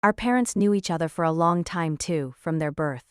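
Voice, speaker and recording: A woman playing a confident teenage girl who aspires to police work, brisk and deadpan, in an audio drama. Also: lowish voice, clear, resonant tone, no room sound, clean studio sound, natural voice. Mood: reflective. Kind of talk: anime-style audio drama acting.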